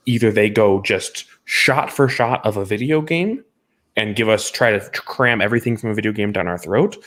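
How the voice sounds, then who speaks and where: Low-voiced man talking movies, opinionated. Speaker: podcast co-host reviewing films. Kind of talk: casual opinionated movie discussion.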